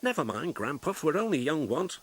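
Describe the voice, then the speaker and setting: A man's voice: very animated, a little fast, husky, no room sound. A man voicing a cheeky, teasing young steam engine in a children's storybook. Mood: curious. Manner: high-pitched, teasing, sing-song. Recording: music behind the voice.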